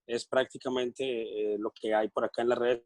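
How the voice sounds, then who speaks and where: A Mexican man co-hosting an online talk, speaking informally and courteously with halting phrasing. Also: medium pitch, level tone, a little mellow, no room sound. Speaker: co-host relaying audience messages. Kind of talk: informal live-stream co-hosting.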